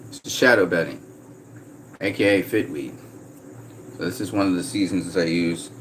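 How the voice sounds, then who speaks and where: A man from Trinidad chatting casually on a livestream, reading out viewer comments in a relaxed way. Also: low-pitched, moderate pace, a little hiss or hum, poor audio. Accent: slight American-sounding Trinidadian. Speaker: vlogger.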